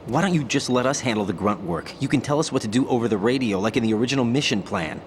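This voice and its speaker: A man's voice: mid-range voice, expressive, fast talker, a little hiss or hum, natural voice. A man playing an earnest, unsure young rookie agent in a video game cutscene, with a questioning manner. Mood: curious, earnest.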